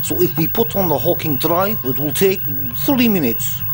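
A man's voice: a little high, sing-song, moderate pace, darkish tone, faint music behind. A man playing a precise, factual starship chief engineer in a comedy radio play. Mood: matter-of-fact.

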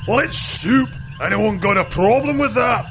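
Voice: medium pitch, animated, moderate pace, lightly acted. A performer playing a surly, foul-mouthed ship's cook in a comedy radio play, grumbling and belligerent. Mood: surly, irate. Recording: loud music behind the voice.

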